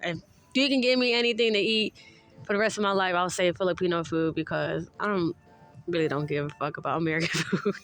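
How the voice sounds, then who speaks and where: A woman's voice: lowish voice, fairly even intonation, fairly quick, a bit bright, faint music behind. A young woman chatting casually as a podcast guest, laid-back and laughing often, swearing freely. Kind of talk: casual chat.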